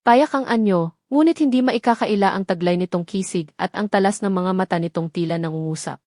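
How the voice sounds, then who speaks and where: A woman's voice: medium pitch, brisk, darkish tone, no room sound, clean studio sound. A woman with a Filipino accent reading a scripted story as its narrator. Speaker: narrator of audio drama stories.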